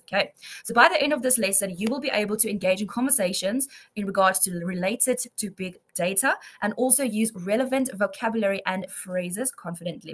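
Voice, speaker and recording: A young woman in her twenties teaches English one-to-one online. Her voice is bright and forward, at a middle pitch, and she affirms and encourages her student as she goes. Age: 20s.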